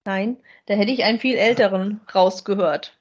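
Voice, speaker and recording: A woman's voice: medium pitch, moderate pace, dull audio. A woman chatting casually as co-host of a podcast that reviews audio dramas.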